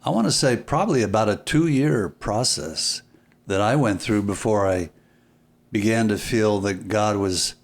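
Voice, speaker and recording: A man speaking as an interview guest, reflective and deliberate, often pausing and repeating phrases for emphasis. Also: fairly low pitch. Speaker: podcast guest, filmmaker.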